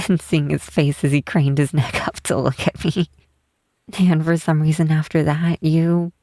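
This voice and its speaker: A woman voicing a tall, shy, wistful half-troll cleric in a soft, hesitant ASMR roleplay, close to the microphone. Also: deep voice, no echo. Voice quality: soft, breathy. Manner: hesitant, halting, tender. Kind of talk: ASMR roleplay, intimate softly spoken acting. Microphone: close microphone, dry.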